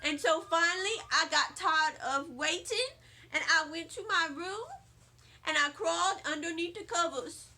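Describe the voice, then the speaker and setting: A woman reading a children's storybook aloud in an expressive narrating style. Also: very high-pitched, measured pace. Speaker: storybook reader, teacher.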